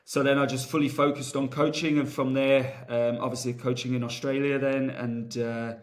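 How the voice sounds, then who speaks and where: Male coach, 30s, level even delivery, loose and chatty. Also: medium pitch, normal speed. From Wales. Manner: relaxed, many fillers and restarts, thinks aloud. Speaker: rugby coach, guest. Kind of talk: casual interview, long rambling answers.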